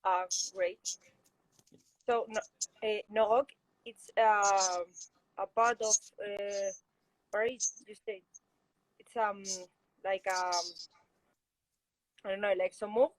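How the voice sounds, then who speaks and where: A woman's voice: medium pitch, steady tone, slow pace, pauses often, dry recording, rough recording. A woman with a Spanish accent interviewing a guest in English over a video call, hesitant with many fillers and short laughs.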